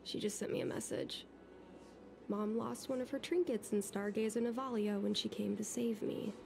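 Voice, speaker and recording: A woman's voice: medium pitch, normal speed, gravelly. A woman voicing an earnest, rueful teenage girl who longs to fly, in a video game. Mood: thoughtful, worried. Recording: game dialogue under loud music.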